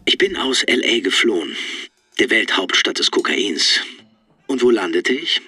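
A man playing a wry, world-weary rock star in a radio documentary, with a husky voice. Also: fairly low pitch, sing-song, measured pace, pushed and bright, no room sound, full character voice. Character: weary, sardonic rock star recalling his escape. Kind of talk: dramatic quote reading.

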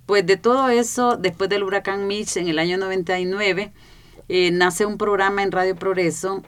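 A woman from Honduras with a Honduran Spanish accent, a guest on a radio panel calmly recounting the history of her migrant-family group. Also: mid-range voice, moderate pace, clear, resonant tone. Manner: calm, recounting, fillers "eh".

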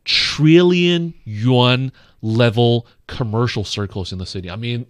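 A middle-aged man from Beijing, a native Mandarin speaker, co-hosting a radio show in English with an expressive manner. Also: medium pitch, a little slow, dry recording, studio quality. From Beijing, China. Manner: expressive, laughs easily. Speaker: radio co-host. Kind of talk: casual radio chat. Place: radio studio.

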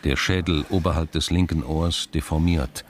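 A man narrating a radio crime drama as a sober, grave storyteller in a measured scripted style. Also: rumbling low, fairly even intonation, slight background noise.

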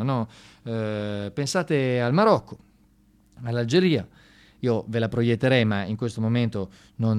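A man's voice: mid-range voice, a little mellow. A man delivering an informal satirical monologue on radio, ironic and mock-enthusiastic, talking straight to his listeners in colloquial language. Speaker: radio monologue performer and storyteller. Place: radio studio.

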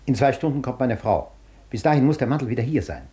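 An actor in a German radio play, playing an agitated, imperious gentleman doctor with a formal, demanding, impatient manner. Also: mid-range voice, lively intonation, quick pace, close to an everyday voice. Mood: discreet, businesslike.

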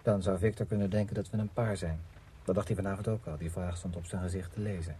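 An actor playing a proud, irritable writer with a curt, scornful manner in a radio play. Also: male voice, low voice, steady tone, fast, mellow, slightly rough. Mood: resigned, frank. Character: proud, irritable male writer, bitterly frank with his woman friend.

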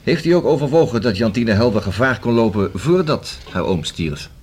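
An actor in a radio play as a methodical police inspector who reasons and questions in a formal, measured, deliberate way. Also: male voice, medium pitch, animated, darkish tone, close to an everyday voice. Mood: formal, pressing. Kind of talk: radio drama acting. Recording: hissy old radio play recording.

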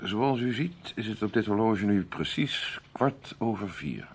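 A man playing a suave, imperious middle-aged army major in a radio drama, courteous, measured and condescending. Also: lowish voice, animated, slight background noise. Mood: drily amused.

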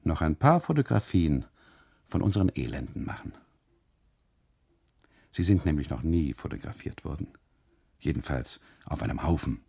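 A man's voice: extremely low pitch, big pitch swings, moderate pace, vocal fry, pauses often, mellow, husky, full character voice. A man voicing a mocking, leisurely custodian in a literary radio monologue, speaking formally and declaiming without hurry. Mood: coolly persuasive. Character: mocking, leisurely smoking male custodian, coolly expansive.

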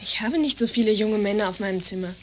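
A young woman playing a quietly evasive lodger in an understated radio drama, giving short, reserved answers. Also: mid-range voice, fast, slight background noise, natural voice. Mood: guarded, soft. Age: young adult.

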